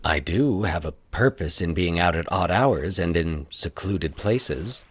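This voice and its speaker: A man playing a cold male killer with deliberate, restrained menace in a horror story. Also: low-pitched, animated, breathy edge, exaggerated voice. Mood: cold, menacing.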